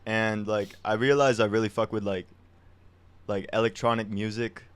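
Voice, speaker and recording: A man's voice: fairly low pitch, steady tone, normal speed, smooth. A young man, a musician, chatting casually in an interview with a laid-back, slangy way of talking. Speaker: musician guest.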